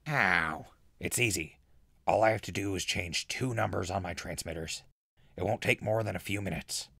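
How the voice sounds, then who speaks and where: A man playing an easygoing ghoul radio host in a post-apocalyptic audio drama, laid-back and conversational with a gravelly voice. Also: sits low, moderate pace, pushed and bright, dry recording, clean studio sound, exaggerated voice. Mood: placating. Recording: music bed under the voice.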